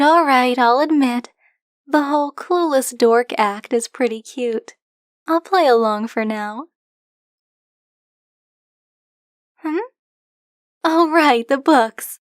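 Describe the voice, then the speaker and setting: A woman's voice: high-pitched, no echo, clean studio sound. A woman playing a flirty, possessive dragon-blooded librarian in an ASMR roleplay, speaking to the listener in a teasing, coy, insistent manner. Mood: coy, hopeful. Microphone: close, dry microphone.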